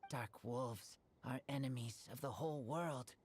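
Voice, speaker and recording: An actor playing an earnest, wolf-raised teenage boy in a video game, speaking in terse, halting, broken sentences. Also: mid-range voice, a little hiss or hum, natural voice. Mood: earnest, determined.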